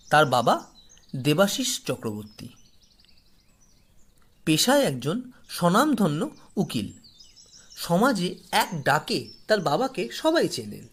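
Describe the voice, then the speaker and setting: A man narrating a scripted horror story as a storyteller, delivering it dramatically and deliberately, phrase by phrase. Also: high-pitched. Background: faint background music.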